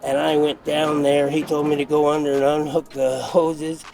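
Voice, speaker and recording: A man telling a rambling, animated story in a street interview. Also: a little high, moderate pace, gravelly, recorded from a distance, faint music behind, poor audio.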